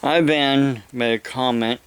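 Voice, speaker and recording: An older man with an American accent delivers a rambling monologue, halting and deliberate, often repeating his phrases. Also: medium pitch, fairly even intonation, a little hiss or hum. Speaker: evangelist vlogger.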